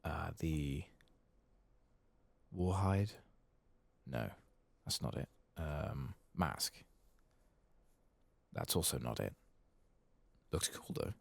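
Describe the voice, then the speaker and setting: Male ASMR game streamer: soft-spoken, slow, heavy vocal fry, hesitant muttering to himself while playing. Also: extremely low pitch, very animated, dry recording. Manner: murmuring, musing aloud to himself, hesitant. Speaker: gaming channel host.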